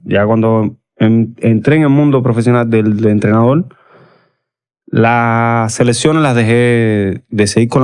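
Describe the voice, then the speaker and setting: Cuban man talking reflectively, pausing to rephrase. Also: mid-range voice, darkish tone. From Cuba. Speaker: football analyst and coach, podcast guest. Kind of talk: reflective podcast conversation.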